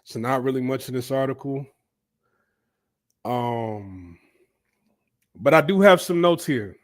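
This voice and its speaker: A man's voice: mid-range voice, takes it slowly. A man with a stuffy cold voice hosting a loose, casual commentary video, thinking aloud with fillers and repeats. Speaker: commentary channel host.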